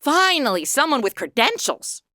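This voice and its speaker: A woman's voice: sits high, very animated, moderate pace, sharp tone, no echo, clean studio sound, exaggerated voice. A woman playing a flippant, sceptical modern trainee angel in a comedy audio drama, blunt and sarcastic. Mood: wry, engaged. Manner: blunt, snarky.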